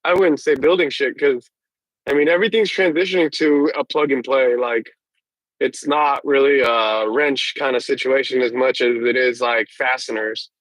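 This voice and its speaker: A man on a debate panel, quick to push back on others' points. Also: medium pitch, fairly even intonation, a bit bright, dry recording, poor audio. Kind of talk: casual debate.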